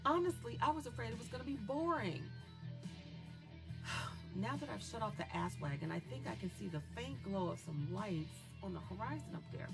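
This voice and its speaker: A woman's voice: mid-range voice, natural voice. An actress playing a gleefully unhinged young female killer in an audio horror drama, chatty, taunting and mocking. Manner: taunting, chatty, foul-mouthed, swinging between glee and menace.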